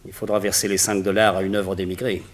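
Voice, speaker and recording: A cold, imperious master villain acted by a man in an old radio play. His low voice gives orders and puts questions with total authority over his victim. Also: level tone, fast talker, natural voice. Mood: coldly probing. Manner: imperious, interrogating, commanding. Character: cold domineering male villain, a hypnotist master giving orders. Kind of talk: 1960s French radio drama acting.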